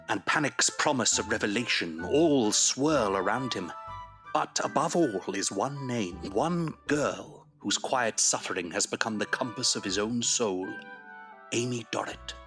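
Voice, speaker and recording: A man's voice: mid-range voice, lively intonation, unhurried, a bit bright, faint music behind. A man narrating an audio drama as a scripted literary storyteller.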